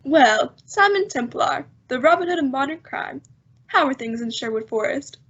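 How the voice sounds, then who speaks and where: Woman as a high-voiced, wisecracking newspaperman. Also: animated, moderate pace, a bit bright. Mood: breezy. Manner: chatty, slangy. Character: breezy, wisecracking male newspaper man in the know. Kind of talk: old-time radio drama acting. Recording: dull lo-fi audio.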